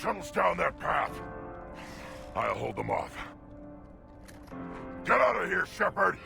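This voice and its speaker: Game casting: a young alien bruiser, fierce and growling, with heavy gravel in the voice. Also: male voice, high-pitched, very animated, slow pace, over music, full character voice. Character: young hulking krogan alien warrior, fierce and gruff. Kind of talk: video game voice acting.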